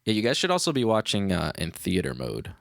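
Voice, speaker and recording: Low-voiced laid-back man teaching on a livestream. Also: wildly swooping pitch, brisk, vocal fry, over loud music. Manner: laid-back, slangy, informal. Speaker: music producer, livestream host. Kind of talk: casual livestream tutorial. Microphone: studio microphone.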